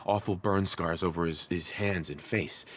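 An actor playing a shaken, loyal firefighter in a video game, speaking earnestly. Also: male voice, low voice, moderate pace, fairly bright tone, slightly rough. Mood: admiring, somber. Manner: earnest, relieved, worried. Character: shaken, loyal male firefighter rescued from captors.

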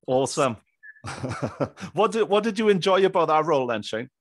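A man's voice: a little high, sing-song, normal speed, dry recording, clean studio sound. A man in his thirties chatting casually as a podcast host. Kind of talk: casual interview chat. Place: small indoor room, on video call. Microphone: studio microphone on boom arm.

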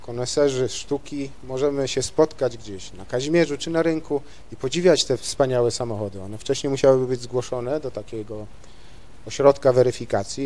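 A man speaking on a panel discussion as a vehicle designer, articulate and measured, pausing on "e" fillers. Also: medium pitch, mellow. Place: large university lecture hall.

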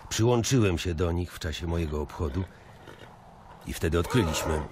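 A man plays a dutiful centurion in a radio play. His voice is deep, and he reports in a formal, factual way over clearly audible background noise. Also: moderate pace, darkish tone, lightly acted. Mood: dutiful, reporting. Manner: formal, matter-of-fact. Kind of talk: audio drama dialogue.

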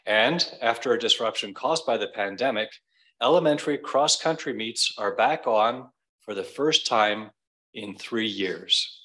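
Man reading school board news from a script, bright sharp voice. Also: medium pitch, animated, a little slow, poor audio. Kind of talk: scripted narration, read aloud at a meeting.

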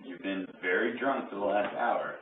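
An actor playing a weary cartoon adult who scolds with dry, deadpan reproach. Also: sits low, normal speed. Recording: tinny phone-like sound, loud crowd.

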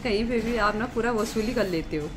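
A woman's voice: medium pitch, a little fast, background noise. A woman chatting casually at a restaurant breakfast, playfully teasing her companion about how much he eats. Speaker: vlogger's companion. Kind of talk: casual chat.

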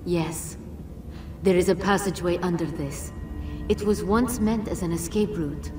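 In a roomy, distant echo with music underneath, an actress plays an ancient djinn woman, regal and grave. Her voice sits on the low side, and she speaks in measured, formal phrases as in a game cutscene. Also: lightly acted. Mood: firm, guiding. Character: solemn, regal, ancient female djinn guardian. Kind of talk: dramatic video game cutscene acting.

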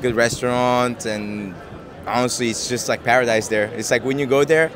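A man in his twenties from Japan, answering street interview questions in a soft, hesitant way with many fillers and easy laughs, into a handheld mic outdoors. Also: medium pitch, fairly even intonation, normal speed, noisy background. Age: 20s. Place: busy city square outdoors. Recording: busy street crowd behind.

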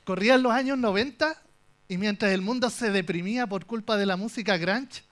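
Young chilean guy on a conference stage, kinda high voice, cracking jokes as he goes. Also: normal speed. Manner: animated, joking storyteller. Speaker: conference speaker. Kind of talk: humorous tech talk.

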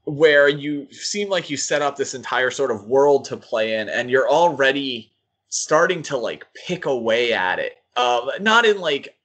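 A man co-hosting a podcast, giving casual critical commentary in a halting, thinking-aloud way. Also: mid-range voice, lively intonation, fairly bright tone. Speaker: podcast co-host. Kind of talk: casual critical comics discussion.

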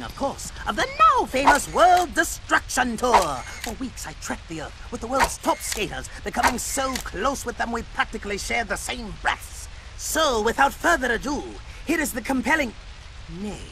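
An actor playing a vain, excitable TV filmmaker with a British accent and theatrical, self-important delivery in a video game. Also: male voice, very high voice, background noise, exaggerated voice. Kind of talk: video game cutscene acting.

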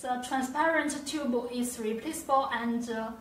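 A woman presenting a scripted product demonstration, with careful, halting, non-native phrasing. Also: on the high side. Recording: roomy echo, distant mic, faint noise.

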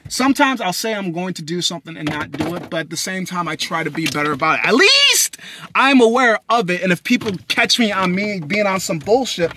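A young man from Haiti, talking into his phone in a parked car at night, blunt and profane, swinging between loud bursts and low mutters. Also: very animated, fairly quick, slight background noise. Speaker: vlogger. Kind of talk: rambling personal monologue. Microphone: phone camera at arm's length.

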